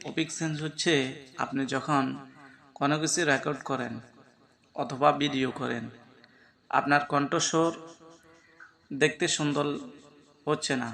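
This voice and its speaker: Man with a creaky, higher-pitched voice. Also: fairly bright tone. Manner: addresses viewers directly as friends. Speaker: tutorial host. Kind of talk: spoken step-by-step app tutorial.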